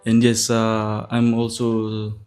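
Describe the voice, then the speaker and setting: A young Fijian man with a Fijian accent, introducing himself quietly and haltingly as a podcast guest. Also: lowish voice, monotone, clear, resonant tone, faint music behind. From Fiji. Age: young adult.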